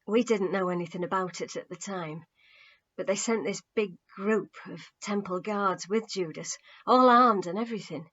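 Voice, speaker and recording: A woman's voice: mid-range voice, moderate pace, fairly bright tone, natural voice. A woman voicing an earnest, shamefaced young man giving bad news plainly and with regret, on a muffled recording. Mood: urgent.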